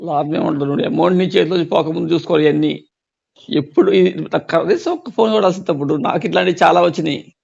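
A man with a Telugu accent talks over a dull phone recording. His voice sits fairly high with a strong creak. He advises informally, jumping in with knowing remarks and repeating himself for emphasis. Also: quick pace, a little mellow. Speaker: phone adviser warning about fraud. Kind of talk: casual phone conversation, advising.

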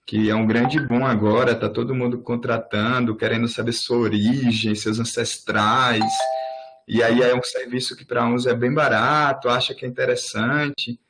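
A Brazilian man moderates an online talk in a relaxed, conversational tone. His voice sits at an ordinary male pitch. He is heard through a video-call microphone. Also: normal speed, slight background noise. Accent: Brazilian Portuguese. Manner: casual, conversational.

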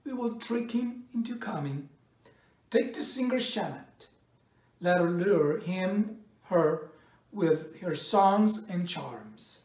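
A man's voice: sits high, lively intonation, slow, darkish tone. A man reading a storybook voices a proud, cruel demigod king, commanding and boastful. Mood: scheming, commanding. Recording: roomy echo, distant microphone.

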